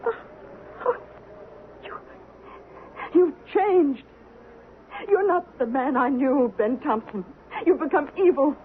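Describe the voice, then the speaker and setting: A woman playing an aging, regretful frontier wife in an American radio drama, halting and theatrical. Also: high voice, lively intonation. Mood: anguished. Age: older. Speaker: actor. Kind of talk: 1970s radio drama acting. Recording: tinny, noisy vintage radio broadcast.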